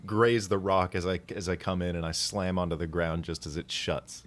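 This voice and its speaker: A man's voice: deep voice, level tone, normal speed. A man taking part in an improvised tabletop game as a player, role-playing casually.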